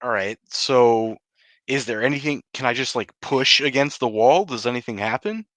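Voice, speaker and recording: Male tabletop player, bright forceful tone, very dry recording, casual and practical. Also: medium pitch, expressive, normal speed, no echo. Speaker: player in a tabletop role-playing game. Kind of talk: casual improvised game chat.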